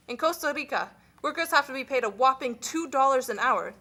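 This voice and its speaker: A woman's voice: high voice, animated, brisk, pushed and bright, full character voice. A woman voicing a formal, matter-of-fact official in an amateur puppet show, reciting explanations.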